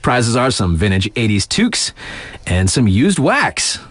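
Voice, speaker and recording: A man's voice: lowish voice, sing-song, unhurried, no echo. An actor playing a laid-back, wry male radio DJ in a video game, talking in casual slang to hype up his listeners. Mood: wry, amused.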